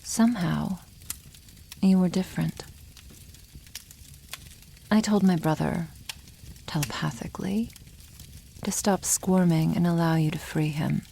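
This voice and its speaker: Slow, creaky-voiced teasing dragon girl acted by a woman. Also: lowish voice, slight background noise. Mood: amused, musing. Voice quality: soft, breathy, often near whisper. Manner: slow, teasing, wry, condescending to humans. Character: proud, teasing young female dragon, protective elder sister. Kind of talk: ASMR roleplay, addressing the listener.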